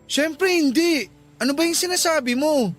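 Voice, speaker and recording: A hurt, pleading boyfriend in a Filipino radio drama. His voice is high for a man, with an earnest, insistent delivery over faint music. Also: normal speed. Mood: placating. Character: patient but suspicious boyfriend, hurt and pleading.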